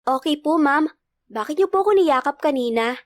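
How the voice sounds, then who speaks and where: A woman's voice: high voice, animated, normal speed, pitched above the performer's own voice. A woman voicing a polite but aloof little boy who is wary of strangers, in a storybook narration. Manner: snobbish, polite childlike speech.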